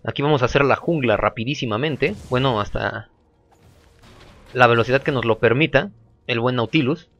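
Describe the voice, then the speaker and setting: A man's voice: medium pitch, moderate pace, dull audio. A man with a sore throat, casually commentating his own gameplay and often repeating phrases. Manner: relaxed, repeats phrases, chatty.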